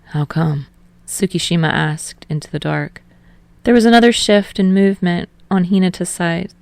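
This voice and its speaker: A woman narrates a story in a fairly low voice with noticeable vocal fry. It is a scripted audiobook read, recorded very dry. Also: moderate pace, a little mellow, dry recording. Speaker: podfic narrator.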